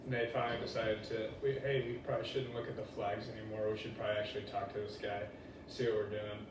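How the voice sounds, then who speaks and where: A man's voice: fairly low pitch, little pitch movement, fast talker, noisy background. Distant young man in a very echoey room. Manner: casual, colloquial. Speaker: student presenter. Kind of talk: informal class presentation. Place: echoey indoor room, presenting to a class. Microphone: distant room microphone.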